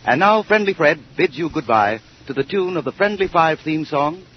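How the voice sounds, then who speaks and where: Noisy 1930s radio announcer, higher-voiced American man. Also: expressive, normal speed. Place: radio studio. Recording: noisy, muffled early 1930s radio broadcast.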